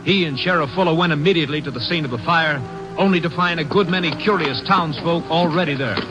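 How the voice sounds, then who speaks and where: An actor as the grave narrator of a vintage radio crime drama, reading scripted narration. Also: male voice, on the high side, fast, close to an everyday voice. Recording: dull old radio recording with music.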